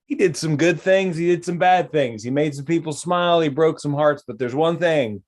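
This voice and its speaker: A man's voice: a little high, moderate pace. A man in his forties co-hosting a podcast, rambling through crude jokes and stories with many fillers. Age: 40s. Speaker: podcast co-host. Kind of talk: casual profane banter.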